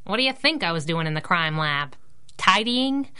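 A woman's voice: lowish voice, big pitch swings, normal speed, bright, forceful tone, clear, resonant tone. A woman playing a plucky, wisecracking young sidekick in a 1930s-style radio drama, sassy and slangy.

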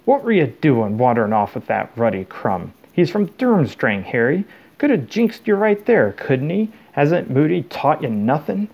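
A man voices a massive, loyal, short-fused groundskeeper from a fantasy storybook. The speech is rustic and blunt with rough grammar, in a gravelly voice. The sound is a little dull. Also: medium pitch, animated, moderate pace. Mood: angry. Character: huge, rough, loyal, hot-tempered groundskeeper.

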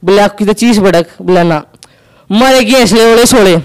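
A young man with a Haryanvi accent doing stand-up storytelling on an indoor stage into a handheld mic, acting out quick back-and-forth dialogue. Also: high voice, clear, resonant tone, low-quality recording. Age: young adult. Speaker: stand-up comedian. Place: indoor stage with curtain backdrop.

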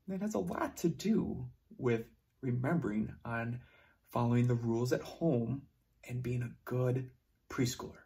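A young man teaching preschoolers online at a whiteboard, talking slowly and encouragingly in a sing-song way, moving toward and away from the camera. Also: mid-range voice. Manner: slow, sing-song, encouraging teacher talk to small children. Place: classroom at a whiteboard. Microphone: camera close up, moving away to write.

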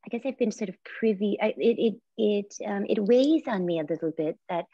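A woman in her forties whose first language is Japanese, speaking as a visual artist on a video call, soft and reflective with many pauses and restarts. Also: medium pitch, animated, slightly rough, no echo. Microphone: laptop or phone camera on video call. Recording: muffled lo-fi video call audio.

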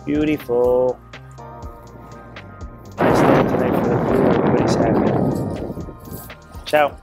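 A man in his thirties talks casually into a handheld camera on a sailboat. His voice sits at a middle pitch and he playfully repeats his words. Loud music runs behind him. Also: steady tone, measured pace. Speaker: sailing vlogger. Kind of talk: casual first-person vlog chat to camera. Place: on a sailboat, in the galley and on deck.

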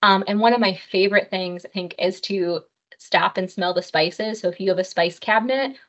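A woman's voice: medium pitch, moderate pace, fairly bright tone. A woman dietitian teaching an online cooking class, speaking in a friendly, practical way with frequent "um" fillers. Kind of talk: online health class with slides. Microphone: webcam on a video call. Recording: slightly dull video-call audio.

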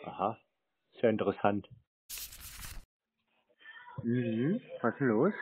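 A man's voice: medium pitch, very animated, slow, slight background noise. A man talking on the phone in a terse, dry, challenging manner. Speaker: prank host taking scam calls. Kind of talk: phone conversation. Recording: tinny narrow phone-like sound.

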